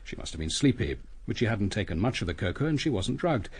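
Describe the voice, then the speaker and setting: An actor playing a coolly brilliant British police inspector in a detective radio drama, husky, measured and deliberate. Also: male voice, on the low side, big pitch swings. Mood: reflective, intent. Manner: measured, deliberate, theatrical reconstruction of events.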